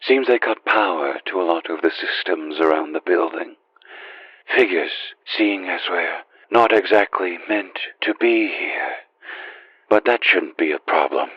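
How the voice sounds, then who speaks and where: Grave male game guide, acted: deep, husky, urgent, over a muffled, dry radio. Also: expressive, a little slow, dry recording, heavily acted. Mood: grave, watchful. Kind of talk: video game radio guidance lines.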